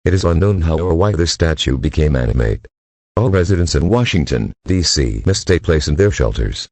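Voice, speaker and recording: A man's voice: very deep voice, animated, a little fast, a little mellow, husky, no room sound. A man reading scripted emergency alerts as an announcer, in a formal, synthetic-sounding delivery.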